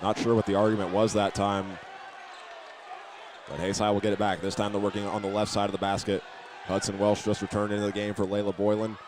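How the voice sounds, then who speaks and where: Male basketball play-by-play announcer, lowish voice with little inflection, plain delivery with the odd stumble, over noisy gym sound. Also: level tone, a little fast, crowd noise. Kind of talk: live basketball play-by-play commentary. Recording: noisy gym ambience behind the voice.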